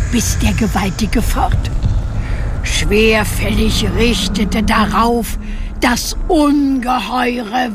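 An actress playing a storyteller who recites a rhymed legend in a measured storybook way for a radio play. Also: female voice, a little high. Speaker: narrator. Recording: clear music under the voice.

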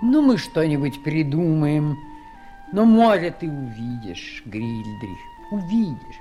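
A woman's voice: sits low, big pitch swings, slow pace, over music, full character voice. An actress playing a kindly, curious giant queen in an audio play. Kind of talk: Soviet audio play acting.

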